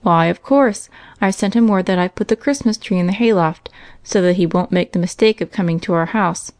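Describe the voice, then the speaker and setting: A woman's voice: on the low side, brisk, a little mellow, no room sound, close to an everyday voice. Woman reading as a kindly storybook father. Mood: reassuring. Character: kindly, reassuring father, a horse-loving family man. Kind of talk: storybook character voice.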